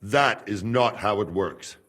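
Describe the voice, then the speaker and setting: An actor playing a proud, stubborn male castle guard who talks in a measured, quietly threatening way in a video game cutscene. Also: on the low side. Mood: calm.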